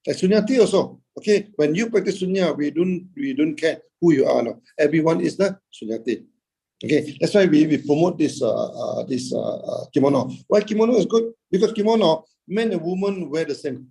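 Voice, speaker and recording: Gravelly, husky man giving a halting dharma talk. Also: fairly high pitch, lively intonation, mellow, low-quality recording. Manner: halting, frequent "okay" and "uh", rhetorical questions answered himself. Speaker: Buddhist teacher. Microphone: video call.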